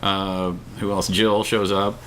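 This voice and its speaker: A man's voice: low-pitched, little pitch movement, slow, a bit bright. Man chatting casually over noticeable background noise. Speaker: co-host of a pop culture discussion show. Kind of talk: casual opinionated chat.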